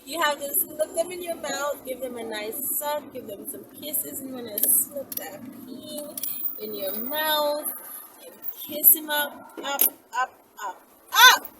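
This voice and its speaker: A woman's voice: high voice, very animated, a little slow. A woman in her thirties chatting informally to camera as a vlog host, explaining step by step and often checking she is understood. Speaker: vlog host giving instructions. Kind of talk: informal instructional chat to camera. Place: inside a car on the road. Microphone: camera at arm's length or on dashboard. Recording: loud vehicle and road noise, distant mic.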